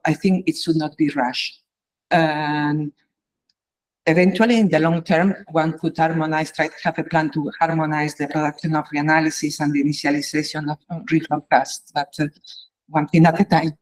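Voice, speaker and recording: A woman giving a measured conference talk as an invited reviewer, pausing often with fillers. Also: sits low, flat delivery, a little mellow, low-quality recording. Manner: halting, measured, many pauses and fillers.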